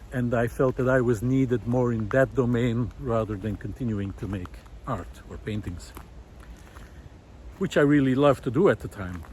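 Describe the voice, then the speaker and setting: Halting, earnest low-key male vlogger, noisy outdoors. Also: a little mellow. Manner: hesitant, halting phrasing, earnest. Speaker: vlogger, former visual artist. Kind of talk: reflective unscripted monologue to camera while outdoors. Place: outdoors in a park. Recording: outdoor ambience with clear traffic noise.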